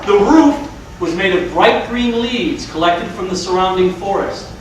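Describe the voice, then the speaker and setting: A man's voice: sits high, unhurried, far from the mic, slight background noise. A man playing the storyteller of a folktale, giving scripted narration in a stage play. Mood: measured. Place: large room or hall, distant mic. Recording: echoey stage from afar, music behind.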